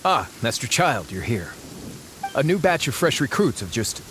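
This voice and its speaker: An actor playing a dutiful military subordinate in a video game, formal, deferential and earnest. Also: male voice, medium pitch, sing-song, normal speed, fairly bright tone, a little hiss or hum. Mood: respectful, puzzled.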